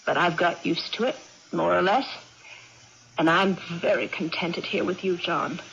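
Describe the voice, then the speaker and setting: An aging aunt in an old radio drama, practical and musing. She speaks slowly and deliberately, through a dull broadcast recording with some hiss and noise. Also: on the low side, steady tone, vocal fry, a bit bright. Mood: contented, affectionate. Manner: deliberate, musing, matter-of-fact with pauses. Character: contented, practical, aging aunt with a lost memory. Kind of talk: 1980s radio drama acting.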